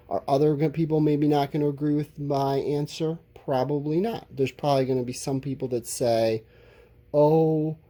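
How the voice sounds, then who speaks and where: A male schoolteacher with a husky, mellow voice walks students through a written answer. His delivery is slow and informal, stretching words out as he writes, yet his pitch moves up and down in a lively way as he explains. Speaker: elementary school teacher. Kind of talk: instructional lesson, thinking aloud while writing answers.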